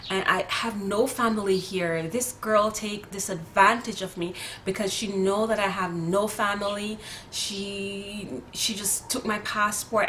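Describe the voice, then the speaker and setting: A woman's voice: medium pitch, a little hiss or hum, poor audio. A woman with a Jamaican accent telling a personal story to camera outdoors in a casual, rambling vlog style full of fillers and restarts. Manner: chatty, animated, many fillers and restarts. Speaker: vlogger. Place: outdoors near neighbors.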